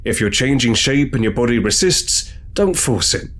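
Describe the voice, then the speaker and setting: A man playing a fussy, absent-minded robot doctor giving measured clinical advice. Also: medium pitch, animated, a bit bright. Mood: advising.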